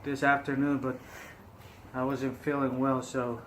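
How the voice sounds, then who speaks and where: A man's voice: medium pitch, level tone, a little slow, background noise. A man improvising prank phone calls, play-acting with a hesitant, stammering delivery. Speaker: prank caller.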